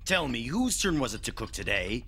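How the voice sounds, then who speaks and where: A man voicing a proud young alien king in an animated film dub, imperious and scornful. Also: mid-range voice, brisk, breathy edge. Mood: cold, scornful.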